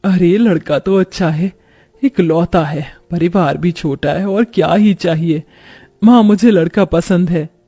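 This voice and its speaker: A man's voice: high voice, unhurried, warm tone, breathy edge, voice pushed down, exaggerated voice. A man voicing a young new bride, plaintive and dutiful, in a cartoon family story. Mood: pleased. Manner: plaintive grumbling.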